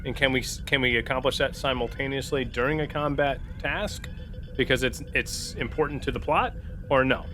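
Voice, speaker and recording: A man's voice: mid-range voice, moderate pace, bright, forceful tone. A man taking part in a tabletop role-playing game, casually talking through the options as a player. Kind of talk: casual out-of-character game discussion. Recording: faint background noise.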